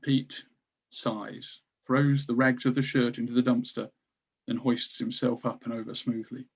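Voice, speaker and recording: A man's voice: medium pitch, little pitch movement, a little slow, vocal fry, husky. Male narrator, slightly dull recording. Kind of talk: scripted narration of stage directions.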